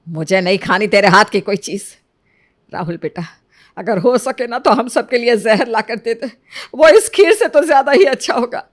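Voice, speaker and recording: A woman voicing an angry older mother-in-law who scolds loudly and harshly. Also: on the high side, animated, moderate pace, few pauses, natural voice. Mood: scolding.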